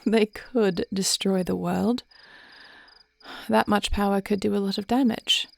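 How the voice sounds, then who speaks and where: A woman playing a thoughtful, devoted dragon queen wife in an intimate fantasy audio roleplay, speaking in measured, formal, tender phrases. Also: mid-range voice, steady tone, vocal fry. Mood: musing, hopeful.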